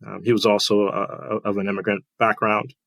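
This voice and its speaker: Low, flat-voiced man from Trinidad. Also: creaky, no echo. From Trinidad and Tobago. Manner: measured, thoughtful, with fillers and restarts. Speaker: guest, finance director. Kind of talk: interview answers.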